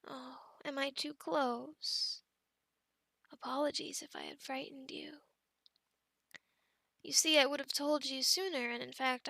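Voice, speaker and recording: A high-voiced female werewolf acted by a woman speaks slowly and intimately into a close mic. She slips between crackly fry and breathy whispers in an ASMR roleplay. Her manner is formal, coaxing and softly menacing, obsessively possessive of her captive. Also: no room sound, heavily acted. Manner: slow, formal wording, soft menacing coaxing, often whispering. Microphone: close microphone.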